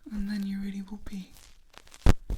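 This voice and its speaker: A woman's voice: mid-range voice, monotone, a little hiss or hum, close to an everyday voice, poor audio. Woman playing a whispering, protective vampire. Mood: grave, bitter. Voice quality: soft, breathy whisper. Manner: slow, gentle, admonishing. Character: protective, world-weary female vampire tending a loved one. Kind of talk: soft-spoken whispered ASMR roleplay.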